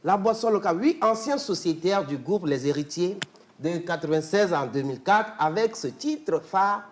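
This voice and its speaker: Un homme à l'accent togolais qui présente l'invité sur un ton joueur, en scandant des listes et en chantonnant. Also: male voice, high-pitched, expressive, normal speed, slight background noise. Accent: Togolese French. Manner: playful, rhythmic, chanting lists. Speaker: show regular presenting the guest. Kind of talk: lively praise-style guest presentation.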